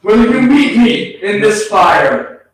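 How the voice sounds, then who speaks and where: A man's voice: high-pitched, lively intonation, takes it slowly. A man in a staged play reading as the ghost of a brother who died young, speaking earnest, poetic monologue in a grieving, tender way. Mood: impassioned, rousing. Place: small arts centre stage, recorded from a distance. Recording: echoey theatre hall, distant mic, faint noise.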